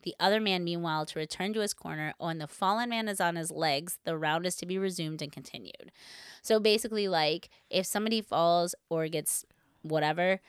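A woman's voice: on the low side, fairly quick, smooth, no echo, clean studio sound. A woman co-hosting a podcast, telling a story in casual, informal talk with lots of "like" and playful asides. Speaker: podcast co-host.

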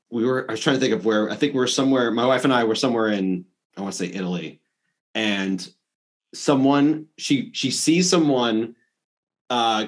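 A man hosts a casual interview, reading out questions and drifting into a story. His voice sits at an ordinary middle pitch for a man. He talks in a relaxed way, often pausing and restarting his sentences. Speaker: interviewer host.

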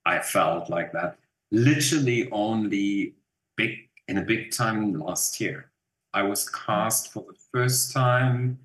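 A man's voice: lowish voice, a bit bright. German-accented man, roomy echo. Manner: chatty, rambling with fillers and restarts. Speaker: actor guest. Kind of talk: casual interview.

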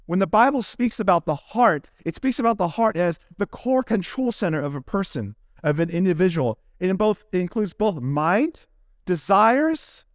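Male preacher, high-pitched voice, earnest, didactic, deliberate phrasing, expository sermon, dry recording. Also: very animated, dry recording. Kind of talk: expository sermon delivered from prepared notes.

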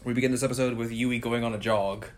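A man's voice: medium pitch, fairly even intonation, fast talker. A man co-hosting a podcast, casually recapping an anime episode and laughing often. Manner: laid-back, laughs often, slips into quoted voices. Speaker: podcast co-host.

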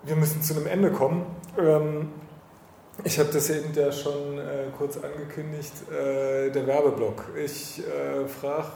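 A man's voice: on the high side, level tone, unhurried, creaky, warm tone. A man hosting a casual podcast interview, rambling along with playful irony. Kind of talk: casual chat. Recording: roomy echo with background noise.

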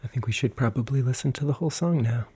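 A man co-hosting a podcast who introduces the song and signs off in a relaxed, easygoing way. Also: mid-range voice, fast, slightly rough. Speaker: podcast co-host. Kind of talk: casual show sign-off.

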